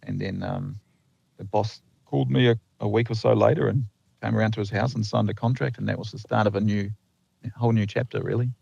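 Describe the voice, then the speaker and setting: A man's voice: fairly low pitch, fairly even intonation, a little fast, creaky, darkish tone, husky. A Dutch-born man with a slight New Zealand accent, a lineman chatting as a podcast guest, rambling with lots of fillers and restarts, in a slightly lo-fi recording. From Netherlands. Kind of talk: casual interview chat.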